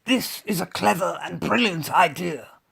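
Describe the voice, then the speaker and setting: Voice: mid-range voice, lively intonation, a little slow, vocal fry, fairly bright tone, breathy edge, voice pushed up, exaggerated voice. A narrator voicing a pompous, blustering, cowardly lion in a storybook. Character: blustering, cowardly old-chap lion, pompous but nervous.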